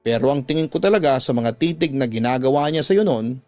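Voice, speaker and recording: A man's voice: mid-range voice, animated, fairly quick, a little mellow, no echo, close to an everyday voice. A reproachful young woman played by a male narrator questions and scolds as a worried confidante in a radio drama. The voice is dry and close, over background music. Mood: concerned, suspicious. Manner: reproachful, probing.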